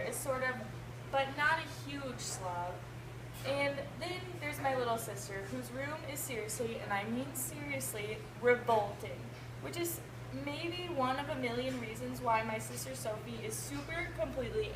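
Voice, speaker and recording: Female teacher, fairly high voice, emphatic storytime delivery, recorded at a distance with clear background noise. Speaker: teacher reading to a class. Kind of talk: expressive picture-book read-aloud. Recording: echoey, noisy room, distant microphone.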